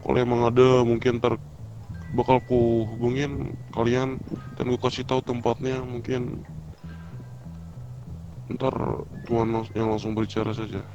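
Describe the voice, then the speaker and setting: A man's voice: mid-range voice, flat delivery, a little slow, long pauses. Muffled Indonesian man, hesitant and informal. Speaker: player in an online crime roleplay. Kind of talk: casual improvised in-character chat. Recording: dull voice chat under music. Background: music.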